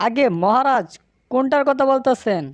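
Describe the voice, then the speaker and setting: Woman playing a high-voiced sly cartoon fox trader. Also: normal speed, no room sound, muffled recording, close to an everyday voice. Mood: fawning, evasive. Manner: fawning, sly, evasive. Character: male fox cattle seller, wily, obsequious village husband. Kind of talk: cartoon dialogue.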